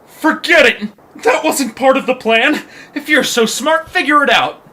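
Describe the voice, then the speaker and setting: High-pitched, pompous troll boss. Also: male voice, measured pace. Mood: indignant, demanding. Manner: pompous, demanding. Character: bossy, hungry pizza troll leader, arrogant and picky. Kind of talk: cartoonish audio drama acting. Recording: faint background noise.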